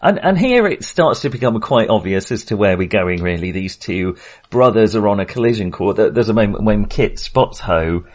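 A man's voice: lowish voice, lively intonation, moderate pace. A man casually discussing a film as a podcast co-host, in a hesitant, rambling way.

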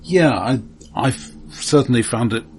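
A man co-hosting a casual film discussion in a measured, halting, thoughtful way. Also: medium pitch, a little mellow, a little hiss or hum. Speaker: film podcast co-host.

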